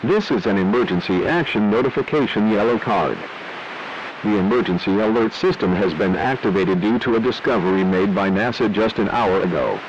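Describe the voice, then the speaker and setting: Low-voiced man acting as a president, hissy broadcast. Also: normal speed, close to an everyday voice. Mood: grave, formal. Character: US president giving a grave emergency address. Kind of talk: scripted emergency broadcast announcement. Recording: dull lo-fi radio broadcast, loud hiss.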